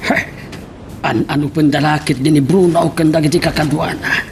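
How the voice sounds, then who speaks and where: A man's voice: a little high, fairly even intonation, fairly quick, slight background noise, close to an everyday voice. An actor playing an earnest older village farmer and father with a Filipino accent in a radio drama. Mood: cautious, worried.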